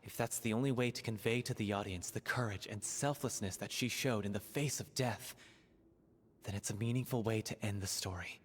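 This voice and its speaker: A man's voice: fairly low pitch, brisk, husky. A man voicing an earnest male troupe member in a game, speaking solemnly and sincerely. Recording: reverberant game audio with music.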